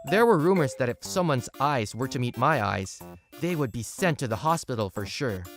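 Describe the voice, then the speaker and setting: A lonely, misunderstood schoolboy narrator, played by a woman with a low, animated voice. He explains himself matter-of-factly over prominent music in a manga story. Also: normal speed. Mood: gloomy. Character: lonely, misunderstood high school boy narrating his life. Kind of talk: scripted manga dub narration. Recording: prominent music bed behind voice.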